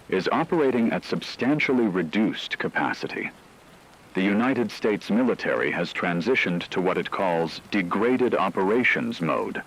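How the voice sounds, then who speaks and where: A man playing a grave radio news anchor, reading a scripted emergency bulletin in a formal, measured, solemn way. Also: deep voice, a bit bright, husky, exaggerated voice, rough recording. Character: grave male shortwave radio newscaster reporting global catastrophe.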